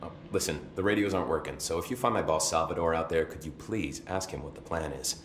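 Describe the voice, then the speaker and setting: A wisecracking security chief. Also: male voice, a bass voice, fast talker, fairly bright tone, a little hiss or hum. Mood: wry. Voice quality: chuckling, wisecracking. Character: young male security chief, wry, jokey. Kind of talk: video game cutscene. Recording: echoey room.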